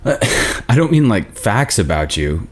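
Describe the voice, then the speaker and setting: A self-doubting young boyfriend with a deep voice, played by a man at a table read. He sounds weary and hesitant, grumbling through his lines. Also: very animated, a little slow. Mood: apologetic, hesitant.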